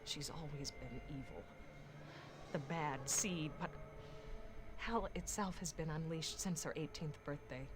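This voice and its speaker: A grim, bitter woman in a live-action game. She speaks low, with dramatic, accusing emphasis. There is faint noise around her voice. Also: unhurried.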